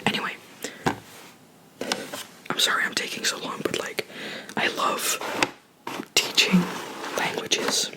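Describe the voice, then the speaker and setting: A person giving a whispered ASMR language lesson, explaining in a soft, rambling, chatty way close to the microphone. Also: rough recording. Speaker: language teacher.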